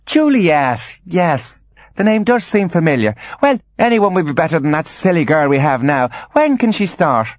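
A man's voice: a little high, sing-song, moderate pace, dry recording, pitched above the performer's own voice. A man voicing a frail, bedridden mother in an audiobook, imperious and fretful, with a plaintive, crooning, dramatic delivery. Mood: imperious, fond.

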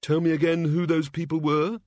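Man playing a bemused minor god, slightly high. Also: little pitch movement, normal speed, a little mellow, dry recording, studio quality, natural voice. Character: mild, bemused, newly sober male minor god.

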